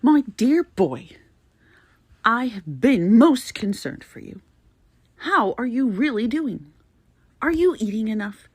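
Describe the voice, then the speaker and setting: A woman's voice: medium pitch, husky, dry recording. A woman voicing a prim, fussy, easily scandalized old-fashioned lady, reading a letter theatrically with proper, emphatic diction. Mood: fussing concern.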